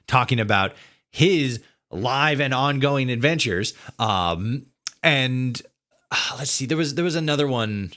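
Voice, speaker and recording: A man hosting a casual interview podcast, building long questions haltingly and repeating words as he goes. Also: mid-range voice, expressive, sharp tone.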